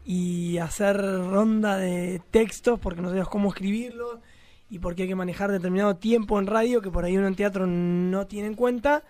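Argentine man, high-pitched, casual guest chat, performer, dry close sound. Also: steady tone, normal speed, a little mellow, dry recording. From Chillar, Argentina. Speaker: radio play performer, guest.

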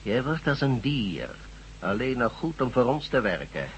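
An actor in a radio drama playing a cold, condescending, menacing regime doctor who speaks deliberately. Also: male voice, mid-range voice, sing-song, a little hiss or hum, natural voice.

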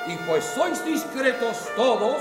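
A man's voice: extremely high pitch, sing-song, unhurried, full character voice. A male actor playing a Spanish stage player declaiming comedy verse in a theatrical style. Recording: echoey hall, loud music over voice. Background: music.

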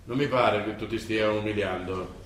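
An actor playing a wily, unctuous older cardinal in an Italian radio drama, measured and preachy. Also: male voice, on the low side, steady tone, fairly bright tone, lightly acted. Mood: stern, insinuating. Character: wily, unctuous high-ranking cardinal, male, older, coolly manipulative. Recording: echoey stage recording, distant mic, hiss.

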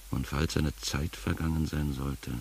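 A man acting a world-weary, sardonic philosopher in a poetic radio play, with a gravelly voice and a musing, drawn-out delivery. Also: extremely low pitch, level tone, a little mellow, slight background noise, exaggerated voice. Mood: savouring, musing.

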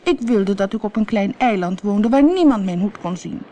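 A woman voicing a proud, easily offended storybook woman in an exclamatory, expressive way. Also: medium pitch, normal speed, pitched above the performer's own voice, close to an everyday voice. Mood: fed up.

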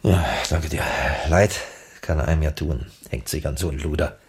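An actor playing an exasperated, sarcastic circus director in a radio drama, with a husky voice. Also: male voice, a bass voice, moderate pace, darkish tone, a little hiss or hum. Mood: resigned. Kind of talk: 1980s radio drama dialogue.

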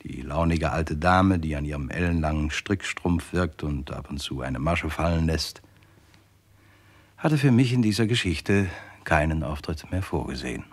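Low-voiced, polite writer narrating a crime. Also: male voice, normal speed, slightly rough. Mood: wry, composed. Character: Edgar Dickens, male writer and wry crime narrator. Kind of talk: 1960s German radio crime drama acting.

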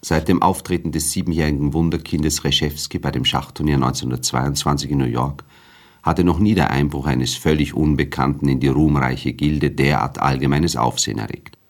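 A very deep-voiced man narrates a radio play as a refined, observant storyteller. His delivery is composed and measured, with articulate literary phrasing. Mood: composed, narrating. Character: refined male first-person narrator of a novella, observant and composed.

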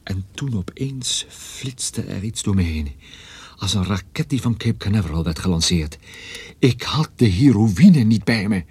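A man's voice: fairly low pitch, animated, measured pace, a little mellow, husky, full character voice. An actor playing a world-weary private detective who narrates his own story in a dry, sardonic radio drama style. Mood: uneasy, urgent. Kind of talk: scripted first-person radio drama narration.

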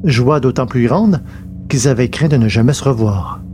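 A man's voice: mid-range voice, moderate pace, dark tone, faint music behind. A man narrating a science fiction serial from a script, as a storyteller.

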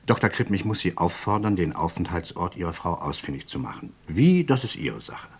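An actor playing a courteous but persistent, suspicious British police inspector in a radio play, speaking formally and with polite insistence. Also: male voice, sits low, big pitch swings, a little fast, breathy edge, full character voice. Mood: probing, formal. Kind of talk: German radio play dialogue.

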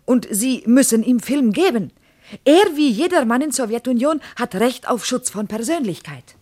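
Russische Fremdenführerin mit hoher Stimme. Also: female voice, fairly high pitch, lively intonation, normal speed, darkish tone, no echo, studio quality. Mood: earnest, instructive. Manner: formal, broken German with dropped articles. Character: earnest Soviet Russian woman guide, formal and correct. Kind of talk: radio play dialogue.

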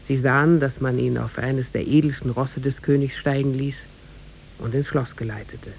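A man narrating a tale in measured, formal storytelling style for a 1950s German radio play. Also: fairly even intonation, vocal fry, darkish tone. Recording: 1950s radio recording with faint rumble.

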